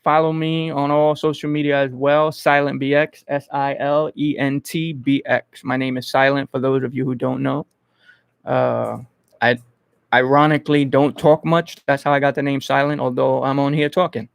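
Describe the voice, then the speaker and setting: A man from the Bronx, hosting a casual livestream and thinking aloud in an informal, halting way. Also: medium pitch, flat delivery, vocal fry, clean tone, no room sound. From Bronx, New York. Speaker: host of an online entertainment interview channel. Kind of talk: casual livestream chat answering viewers.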